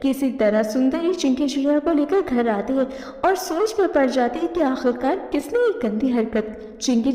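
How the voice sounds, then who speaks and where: A woman's voice: high voice, dark tone. A woman narrator giving a scripted storytelling narration for a cartoon. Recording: echoey reverberant voice-over.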